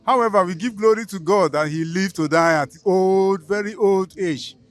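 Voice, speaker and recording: A Nigerian man with a Nigerian English accent, speaking in a solemn, measured way. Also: high-pitched, soft background music. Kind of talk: reflective speech.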